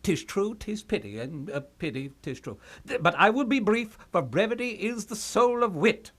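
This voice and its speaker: A man's voice: high-pitched, expressive, moderate pace, a bit bright. A man playing a fussy, pompous old royal counselor who rambles and dodders in a theatrical Shakespeare puppet show. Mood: self-important.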